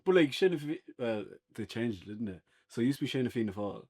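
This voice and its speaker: A man's voice: medium pitch, lively intonation, fast, darkish tone, slightly rough. An Irish man in a casual, unscripted review conversation, speaking as one of the podcast's reviewers.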